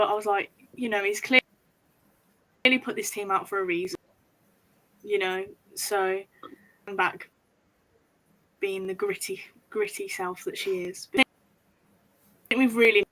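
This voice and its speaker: A woman on a fan podcast panel, bubbly and jokey, talking in quick bursts. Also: mid-range voice, pushed and bright, low-quality recording. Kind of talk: casual fan podcast chat.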